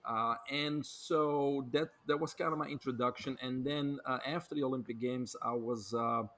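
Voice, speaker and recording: A middle-aged man with a Belgian accent talks through his career as a podcast guest, speaking fluently and energetically. His voice sits at an ordinary middle pitch for a man, and he leans on small filler words as he goes. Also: fairly even intonation, normal speed, a bit bright. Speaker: guest, doctor and author. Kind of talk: podcast interview, storytelling.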